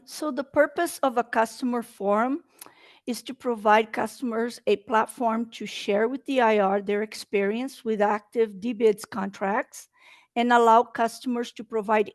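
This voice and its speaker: A woman's voice: on the high side, no room sound. A woman from Brazil with a Brazilian Portuguese accent, presenting a webinar in earnest, slightly non-native English. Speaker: state procurement presenter.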